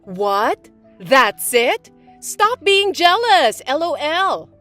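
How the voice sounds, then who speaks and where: A woman voicing a bratty, spiteful young stepsister who talks in a shrill, mocking, bossy way. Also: very animated, extremely slow, a bit bright, soft background music, heavily acted. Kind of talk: voiced text-message drama.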